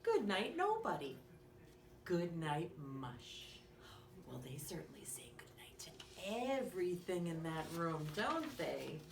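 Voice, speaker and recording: A woman's voice: on the low side, very animated, takes it slowly, gravelly. A woman hosting storytime for young children, speaking in a sing-song way with simple questions for her small listeners. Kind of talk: read-aloud storytime and craft lesson for young children. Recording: echoey room, distant mic, faint noise.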